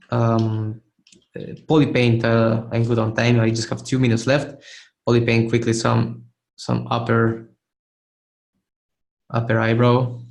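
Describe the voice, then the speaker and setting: Hoarse man with a gravelly, flat voice. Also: medium pitch. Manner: casual, encouraging, restarts phrases while demonstrating. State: hoarse, losing his voice, clearing his throat. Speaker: art instructor giving feedback. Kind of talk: live webinar critique of 3D sculpts.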